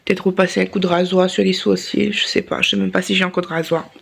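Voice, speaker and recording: A woman's voice: on the low side, fairly even intonation, fast talker, vocal fry, a little hiss or hum. Woman vlogging with a sore throat. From Réunion. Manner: rambling, many restarts and fillers. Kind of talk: casual vlog chat to camera.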